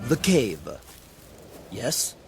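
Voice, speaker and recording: An actor playing a deferential, earnest army sergeant in a radio drama, speaking respectfully. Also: male voice, mid-range voice, big pitch swings, extremely slow, darkish tone, faint music behind. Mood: dutiful, eager.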